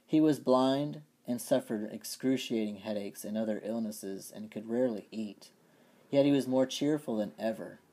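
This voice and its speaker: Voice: mid-range voice, moderate pace, dark tone. A person reading a story aloud in a storybook narration style. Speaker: reader of a story.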